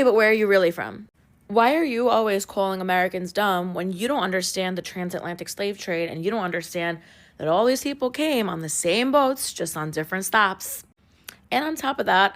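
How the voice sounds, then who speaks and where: A woman's voice: mid-range voice, fairly bright tone. A woman in a casual social media video, asking pointed rhetorical questions again and again.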